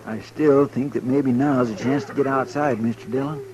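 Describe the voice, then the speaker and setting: An actor playing an anxious, loyal deputy in an old western radio drama. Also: male voice, mid-range voice, fairly even intonation, normal speed, close to an everyday voice. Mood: anxious, urgent. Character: anxious, loyal deputy sidekick, eager to act. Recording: dull old radio, crowd behind.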